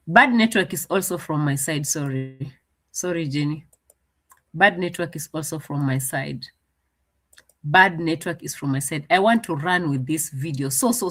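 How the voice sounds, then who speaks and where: Chatty woman commentator, bright and lively delivery. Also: deep voice, a little slow. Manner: chatty, translating and mocking, laughs often. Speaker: commentator reacting to a clip. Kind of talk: casual commentary.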